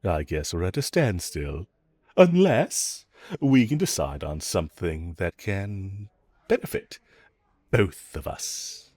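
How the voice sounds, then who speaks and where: A man playing a smug, flirtatious villain in an audio roleplay, teasing and coaxing the listener with self-assured, drawn-out phrasing. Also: sits low, sing-song, a little mellow, slightly rough, no room sound, full character voice. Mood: sly, threatening.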